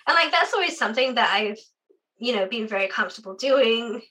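Interview guest, young adult, from the UK, chatty with filler words, bright clear tone, sore voice. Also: female voice, on the high side, animated, fairly quick. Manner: chatty, frequent "like" fillers, laughs often. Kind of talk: casual interview conversation.

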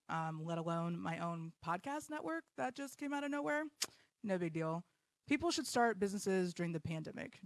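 A woman's voice: fairly low pitch, no echo, clean studio sound. Blunt, self-mocking woman podcast host in her thirties. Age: 30s. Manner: blunt, self-deprecating, swears freely. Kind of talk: casual live podcast monologue. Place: home basement.

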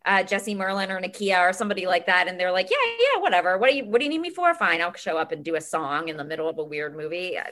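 A woman in her thirties, a filmmaker guest chatting casually in an interview, talking in a quick, youthful way. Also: lowish voice, fairly bright tone. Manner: quick, chatty, self-described teenage way of talking.

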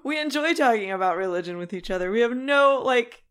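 A woman's voice: a little high, lively intonation, fairly quick, a bit bright, clean tone. Woman chatting casually on a podcast. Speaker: podcast co-host. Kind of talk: casual discussion.

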